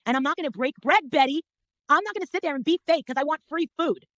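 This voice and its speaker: A middle-aged woman in a casual, gossipy chat, telling her story in a blunt, confrontational, animated way and swearing freely. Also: high-pitched, fast, no room sound, studio quality.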